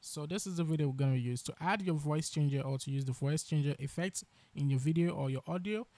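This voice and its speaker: A man hosting a software tutorial, explaining each step as he narrates. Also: fairly high pitch, quick pace, no echo. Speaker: tutorial host.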